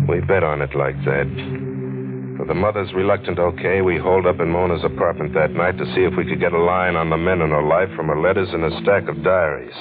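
An American actor playing a tough, cynical newspaperman sleuth in a noir radio drama, with clipped, slangy, pressing delivery. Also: sits low. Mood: cynical, brooding, then grim narration. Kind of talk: 1940s radio noir acting with narration. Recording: muffled old radio drama recording.

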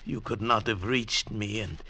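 An actor playing a haunted, ragged Andean mountain guide in a 1940s radio drama, speaking solemnly and formally with halting phrasing. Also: male voice, fairly low pitch, pushed and bright, breathy edge, full character voice. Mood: grave, remembering. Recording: noisy old radio recording.

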